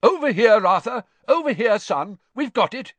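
Man voicing a booming, boastful, genial wizard dad. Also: sing-song, moderate pace, a bit bright, no echo, exaggerated voice. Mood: excited shouting. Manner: hearty, exclamatory, booming. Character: loud, genial, boastful middle-aged wizard father.

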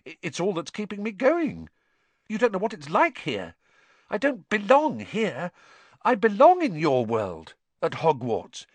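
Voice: medium pitch, wildly swooping pitch, moderate pace, husky, no room sound. A performer voicing an earnest, anxious young schoolboy in a storybook, urgent and a little hesitant. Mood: upset, protesting. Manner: urgent, hesitant, stammering when upset.

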